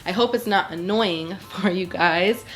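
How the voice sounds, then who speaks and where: Young woman vlogger, lowish and nasal from a cold, chatty and apologetic, soft music bed. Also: unhurried. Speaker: beauty vlogger. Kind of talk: casual makeup tutorial chat. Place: small home studio with plain wall.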